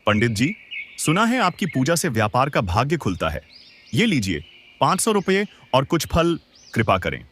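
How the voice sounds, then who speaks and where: A man's voice: mid-range voice, sing-song, moderate pace, a bit bright, a little hiss or hum. A man playing a wealthy village landowner in a cartoon moral tale, respectful and hopeful. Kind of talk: acted Hindi cartoon moral tale dialogue.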